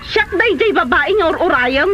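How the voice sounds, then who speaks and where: A woman's voice: very high voice, expressive, moderate pace. A woman with a Filipino accent playing a scornful, fed-up wife in a comedy radio drama. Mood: angry, accusing. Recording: dull, noisy audio.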